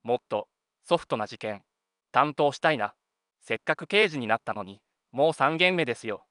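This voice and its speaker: Junior male detective, acted by a man: sharp, bright mid-range voice, polite grumbler and straight man in a crime drama. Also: medium pitch, animated, moderate pace, no room sound, clean studio sound, lightly acted. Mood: grumbling, puzzled. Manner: polite but complaining to his senior. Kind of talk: scripted detective audio drama.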